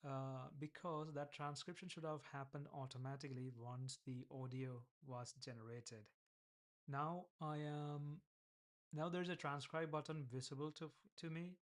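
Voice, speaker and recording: A man's voice: on the high side, fairly even intonation, a little slow. A man with an Indian accent talking through his app on a casual coding vlog, hesitant and thinking aloud. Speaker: vlogger building an app.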